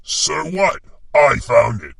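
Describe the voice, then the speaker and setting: A man playing a surly, distrustful brute creature in an animated fan dub, snarling insults. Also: medium pitch, slow, gravelly, heavily acted. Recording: distant-sounding mic, music behind. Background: music.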